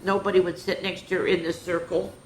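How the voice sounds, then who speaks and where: A woman's voice: fairly low pitch, flat delivery, brisk. A woman hosting a children's story time, reading picture books aloud with chatty, playful asides. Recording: roomy with background noise.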